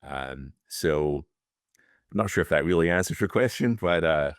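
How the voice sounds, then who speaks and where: A man's voice: extremely low pitch, lively intonation, normal speed, clean tone, no room sound, studio quality. A Scottish man in his fifties, an audit partner speaking as an interview guest, thoughtful and loose, with many fillers and restarts. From Scotland. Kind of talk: casual interview talk.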